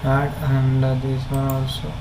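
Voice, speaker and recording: A man with an Indian accent giving a halting, step-by-step software tutorial as an instructor, with repetitive instructions. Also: mid-range voice, completely flat delivery. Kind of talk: step-by-step screen tutorial narration. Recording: noisy, echoey room recording.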